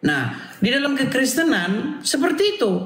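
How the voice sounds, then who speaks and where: A man's voice: very high-pitched, sing-song, normal speed, a bit bright. A man in an informal religious debate, speaking insistently and often checking agreement with rhetorical questions. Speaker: debater leading a religious discussion. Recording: echoey room sound.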